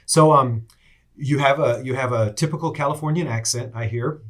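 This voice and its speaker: A man's voice: medium pitch, moderate pace. A man hosting an interview, introducing his guest and asking questions, with some hesitant restarts. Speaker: podcast host.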